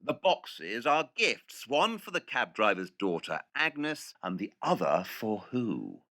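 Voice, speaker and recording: A man's voice: on the low side, huge pitch swings, slow pace, bright, forceful tone, exaggerated voice. A man playing a shrewd, precise, self-assured Victorian detective in an audio drama. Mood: probing.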